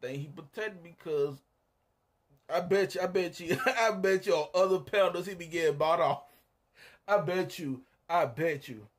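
A man with a husky voice chatting casually in a live-stream panel, rambling as he recounts events. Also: high-pitched, measured pace. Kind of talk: casual chat.

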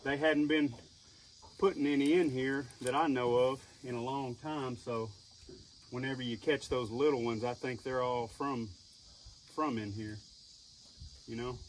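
A man's voice: mid-range voice, fairly even intonation, unhurried, long pauses, poor audio. A young man, coaching in a folksy, easygoing way while fishing outdoors on a boat, recorded on a handheld phone. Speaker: fishing guide and video maker. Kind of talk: casual chat. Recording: loud outdoor noise.

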